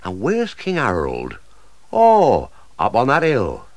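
A man's voice: medium pitch, huge pitch swings, slow, dry recording, full character voice. A pompous old English oak tree grumbles and blusters, as a man puts him on, his voice leaping wildly between lofty pronouncements and panicked yelps. The storybook character sounds close and dry, with no room around him. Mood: fretful.

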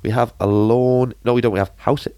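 A man's voice: medium pitch, fairly even intonation, fairly quick, a little mellow, clear, resonant tone, dry recording. A British man co-hosting a casual film podcast, bluntly opinionated with a dry, sarcastic streak. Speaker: podcast co-host.

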